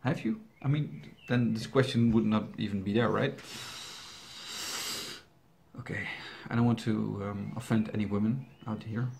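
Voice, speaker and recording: Gravelly, low-voiced Dutch man. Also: steady tone, normal speed, darkish tone, slight background noise. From Netherlands. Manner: rambling, hesitant, with frequent fillers and restarts, joking. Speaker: YouTube reaction channel host. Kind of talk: casual Q&A answering viewer questions.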